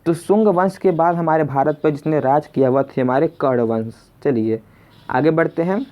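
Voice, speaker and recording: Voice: mid-range voice, normal speed, lo-fi sound. A teacher narrating an exam lesson in question-and-answer form, repeating questions and addressing listeners as friends. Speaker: quiz teacher.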